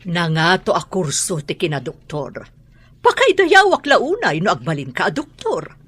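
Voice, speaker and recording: A woman's voice: on the low side, wildly swooping pitch, normal speed, fairly bright tone, breathy edge, full character voice. An actress playing a proud, insistent, middle-aged mother in an Ilocano radio drama, speaking in a domineering and emphatic way.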